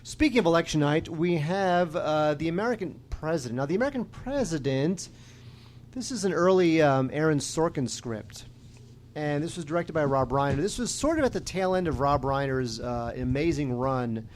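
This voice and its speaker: A man's voice: fairly high pitch, lively intonation, normal speed, darkish tone, slight background noise. A man co-hosting a podcast, giving informal, opinionated film reviews in casual conversation. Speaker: podcast co-host reviewing films.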